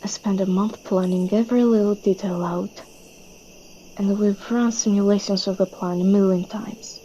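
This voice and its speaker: A woman's voice: mid-range voice, fairly even intonation, normal speed, dark tone, muffled recording, a little hiss or hum, close to an everyday voice. A woman playing an anxious, driven young female leader in a webtoon fan dub, speaking with earnest, emphatic intensity. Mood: tense, subdued.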